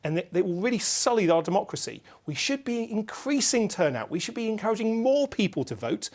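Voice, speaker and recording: Male panel guest, fairly high voice, insistent campaigning delivery. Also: big pitch swings, brisk, darkish tone. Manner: insistent, campaigning, rhetorical lists. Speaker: political guest. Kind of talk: studio debate.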